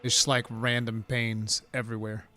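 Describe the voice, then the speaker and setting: A man's voice: mid-range voice, little pitch movement, moderate pace, sharp tone. A man in his forties chatting casually on a game stream, with a teasing, playful manner and frequent exclamations and swearing. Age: 40s. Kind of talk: casual chat, banter.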